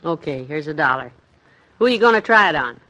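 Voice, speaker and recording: An actress playing an amused, indulgent middle-aged American wife in a 1950s radio comedy. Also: female voice, deep voice, animated, fast, fairly bright tone, no room sound, muffled recording.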